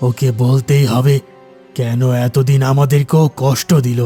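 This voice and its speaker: Man voicing a stern, dark-toned anxious king. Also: medium pitch, flat delivery, unhurried, faint music behind, lightly acted. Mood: stern, demanding. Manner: commanding, dramatic, exclaiming. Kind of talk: animated fairy-tale cartoon dubbing.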